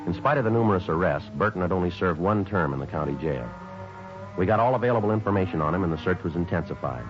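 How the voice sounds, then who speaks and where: A man playing a terse, businesslike police detective and narrator in a 1950s radio drama, deadpan and matter-of-fact. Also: deep voice, quick pace, over music. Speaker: narrator and lead actor. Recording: dull old 1950s radio recording.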